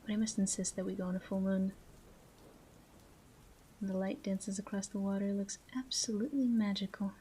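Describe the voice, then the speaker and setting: A woman performs a seductive female crime boss in an intimate audio roleplay. Her voice is mid-pitched and mellow, with coy, teasing, self-assured phrasing. Some background noise sits under the recording. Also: flat delivery, lightly acted. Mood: coy flirtation. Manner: coy, teasing, drawn-out phrasing with breathy asides. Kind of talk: roleplay audio drama, addressed to listener.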